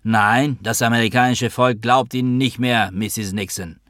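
A man's voice: medium pitch, steady tone, a little slow, a bit bright, dry recording, studio quality, pitched above the performer's own voice, natural voice. A man playing a hurt, sarcastic younger brother, grown up and confrontational, in a literary audiobook story.

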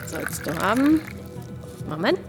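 A woman's voice: medium pitch, big pitch swings, slow. An actress playing a concerned, chatty female café keeper who is informal and inquisitive. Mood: curious, concerned. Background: music.

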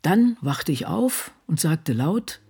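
Creaky, ailing old woman novelist. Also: low voice, sing-song, slow pace, dark tone, faint music behind, full character voice. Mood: haunted, remembering. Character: ailing elderly female American novelist, brooding and blunt. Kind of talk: radio drama acting, intimate monologue.

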